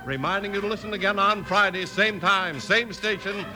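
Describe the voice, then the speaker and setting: A man's voice: high-pitched, normal speed. An American man announcing and reading sponsor spots in the style of 1950s radio. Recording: vintage radio broadcast. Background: music.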